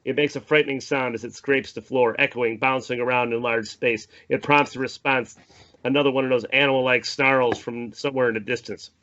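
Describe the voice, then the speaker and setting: Bright-voiced man narrating a script. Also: medium pitch, steady tone, brisk, highs cut off, a little hiss or hum. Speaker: narrator reading stage directions. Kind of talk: screenplay table read narration.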